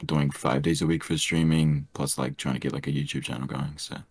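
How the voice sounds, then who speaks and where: A young man with a soft, soothing voice, chatting hesitantly as a podcast guest with many fillers. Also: extremely low pitch, little pitch movement, fairly quick, slightly rough, no room sound. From Australia. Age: young adult. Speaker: streamer guest. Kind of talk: casual podcast chat.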